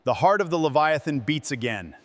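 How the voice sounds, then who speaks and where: A man's voice: fairly high pitch, expressive, a little fast. Man narrating a workshop video over noise. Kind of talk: scripted narration.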